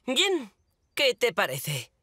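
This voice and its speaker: A woman's voice: a little high, huge pitch swings, very slow, sharp tone, faint music behind, full character voice. An actress voicing a mischievous, boastful young boy in a dubbed children's cartoon. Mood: cocky. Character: mischievous, boastful young schoolboy who lands in trouble.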